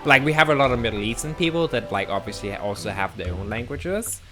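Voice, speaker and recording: A man's voice: medium pitch, animated, brisk, over music. A man, an English speaker, chatting casually as a live streamer, rambling and self-deprecating with frequent restarts. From Denmark. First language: Danish.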